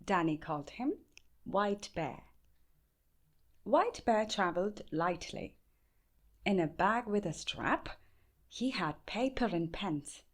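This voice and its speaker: A woman with an Indian accent reading a children's bedtime story aloud in a measured, expressive way. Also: mid-range voice. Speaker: storybook reader.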